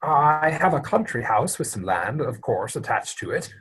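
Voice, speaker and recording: A man acts out an earnest young gentleman in a stage comedy. His voice is slightly rough and moves up and down a lot, with formal, hesitant phrasing and an anxious, deferential temper. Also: mid-range voice, big pitch swings, normal speed, exaggerated voice. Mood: nervous, deferential. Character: earnest, flustered young English gentleman suitor, polite and anxious. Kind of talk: theatrical comedy dramatic reading.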